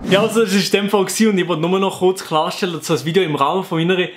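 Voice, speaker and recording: A man's voice: high-pitched, fairly even intonation, very fast, slight background noise. A young man from the Canton of Fribourg with a Sensler Swiss German accent, playfully explaining his dialect to the camera at home. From Canton of Fribourg, Switzerland. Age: young adult. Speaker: YouTuber.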